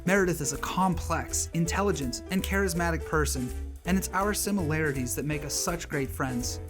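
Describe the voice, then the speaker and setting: A man reads a scripted podcast introduction in a warm, measured tone. Clear music plays under his voice throughout. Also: a little high. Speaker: podcast host. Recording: narration over intro music.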